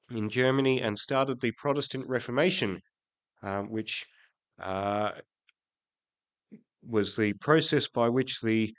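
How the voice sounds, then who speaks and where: A man preaching a sermon in church, croaky from a cold, hesitant, with many ums and restarts. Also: medium pitch, no echo. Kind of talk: sermon with prayer.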